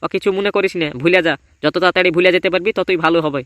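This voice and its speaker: A high, bright cartoon lad in a dry recording, voiced by a man. He speaks extremely fast as the streetwise gang sidekick, reassuring his pal and chiding him. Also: steady tone, no echo. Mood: consoling. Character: consoling, streetwise young male gang sidekick in a comedy cartoon. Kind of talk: cartoon voice acting.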